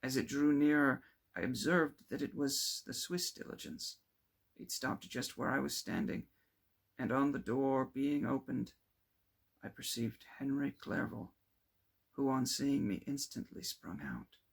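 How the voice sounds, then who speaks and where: A man reading classic literary fiction aloud as an amateur narrator, with dramatic, deliberate phrasing. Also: medium pitch, vocal fry, husky. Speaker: amateur audiobook reader.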